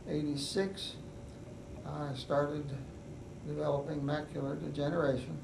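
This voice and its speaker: An older man with a West Virginian accent, telling his life story in an oral history interview in an unhurried, halting way with many pauses. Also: a little high, vocal fry. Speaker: veteran interviewee. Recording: echoey room, mic at a distance, noisy.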